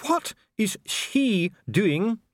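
A man's voice: on the high side, very animated, moderate pace, no room sound, clean studio sound, pitched above the performer's own voice. A man voicing a solemn, disdainful dwarf official in an audiobook, formal, precise and pompous. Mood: haughty. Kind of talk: audiobook character acting.

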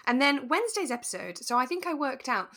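A woman co-hosting a podcast, chatting in a relaxed, casual way and telling things with animation. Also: high-pitched, a little fast. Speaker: podcast co-host. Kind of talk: relaxed casual chat about a radio drama.

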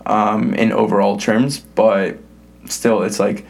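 A man's voice: lowish voice, little pitch movement, slow pace, vocal fry. A young man, raised bilingual in English and Spanish, hosting an interview in a chatty way, full of "like" and restarts. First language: English and Spanish both. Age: young adult. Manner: chatty, filler-heavy, with restarts. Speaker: podcast host, college soccer player. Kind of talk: casual interview chat.

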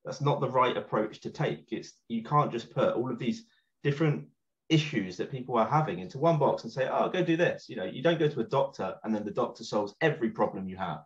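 A man calmly explaining his view in a podcast discussion. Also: mid-range voice, fairly quick. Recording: dull lo-fi mic.

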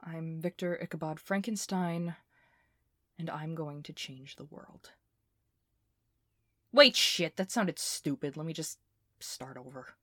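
A scrappy intense inventor doing an audio drama log, kinda low voice, super dry recording, halting delivery. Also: female voice, sharp tone, no echo, full character voice. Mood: nervous, self-conscious. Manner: swearing, halting, swinging from muttering to fervent.

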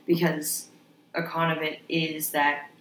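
Low-voiced young woman, echoey room. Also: slow. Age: young adult. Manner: relaxed, chatty, frequent agreeing fillers. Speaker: podcast co-host. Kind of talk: casual conversational podcast. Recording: echoey room with faint noise.

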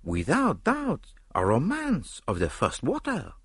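A man voicing a dry, courtly detective with a French accent and a deliberate, gently mocking manner. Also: a little high, wildly swooping pitch, breathy edge, no echo, voice pushed up, full character voice.

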